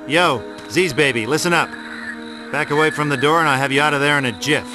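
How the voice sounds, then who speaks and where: An actor playing a cocky, irritable, wisecracking middle-aged action hero in a video game, sarcastic and slangy. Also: male voice, on the high side, fairly quick, music in the background, close to an everyday voice. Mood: brash, dry.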